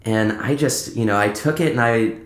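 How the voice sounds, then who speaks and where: A man's voice: mid-range voice, normal speed. A man talking casually as a musician guest on a podcast, rambling through anecdotes and acting out bits of conversation. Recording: roomy, echoing indoor sound.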